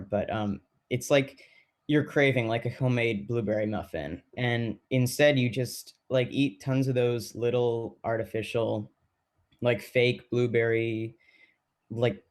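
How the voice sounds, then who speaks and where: A man playing a thoughtful, lonely teacher in a documentary play, speaking casually and haltingly with many fillers. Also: medium pitch, lightly acted. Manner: hesitant, filler-heavy, halting. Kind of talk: casual documentary theater monologue.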